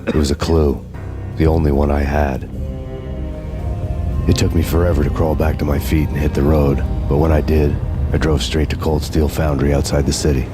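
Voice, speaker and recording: An actor playing a brooding, haunted detective hero, narrating in a deadpan hardboiled noir style. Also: male voice, extremely low pitch, moderate pace. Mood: grim, weary. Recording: music playing behind the voice.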